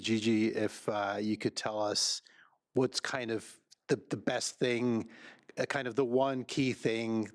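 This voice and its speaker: A Canadian man, a school board trustee, asking questions at a board meeting in a rambling, halting way full of fillers. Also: fairly low pitch, no room sound, clean studio sound.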